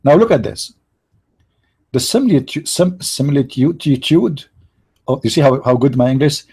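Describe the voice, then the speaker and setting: A man's voice: medium pitch, expressive, moderate pace, warm tone, breathy edge. A middle-aged man, hosting a live stream and making sarcastic, joking commentary while talking to his chat. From Middle East. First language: Arabic.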